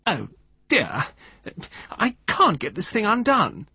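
A man voicing a vain, seductive woman with a high, affected drawl, in a storybook narration. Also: sing-song, moderate pace, a bit bright, husky, dry recording, muffled recording, full character voice. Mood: petulant. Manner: affected, theatrical, raised voice.

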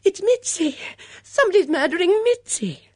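Audiobook female reader as timid lady: plaintive, fretful, high, swooping pitch. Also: sing-song, moderate pace, dry recording, studio quality. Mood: panicked. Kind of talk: audiobook character acting.

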